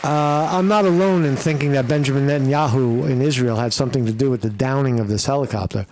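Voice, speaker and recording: A man's voice: mid-range voice, fast, a little mellow, a little hiss or hum. A man giving rambling, profane commentary while watching videos, full of fillers and restarts. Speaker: commentary streamer.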